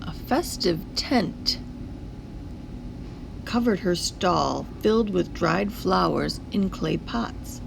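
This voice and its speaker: A woman reading a children's picture book aloud in a deliberate, expressive storytelling manner. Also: lowish voice, creaky, noisy background. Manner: deliberate storytelling with acted character voices.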